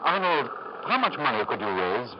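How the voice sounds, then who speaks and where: A man's voice: mid-range voice, sing-song, normal speed, lightly acted. A man acting a kindly, hesitant family doctor and loyal friend in a 1950s radio drama. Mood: gentle, uneasy. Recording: muffled, noisy 1950s radio broadcast.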